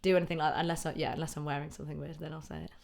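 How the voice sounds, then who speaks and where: A woman in her thirties with a British accent and a slightly low voice. She talks casually and reflectively, like a comedian being interviewed about her craft. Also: steady tone, very fast, vocal fry, a little mellow. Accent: British English. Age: 30s. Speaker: stand-up comedian, podcast guest.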